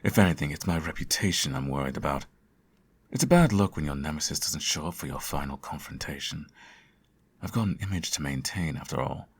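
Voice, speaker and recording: A man playing a sardonic, theatrical supervillain who fusses over his nemesis in an ASMR audio roleplay, his voice slightly husky. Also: extremely low pitch, animated, a little fast, heavily acted. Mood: indignant, flustered. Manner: theatrical, teasing, sarcastic.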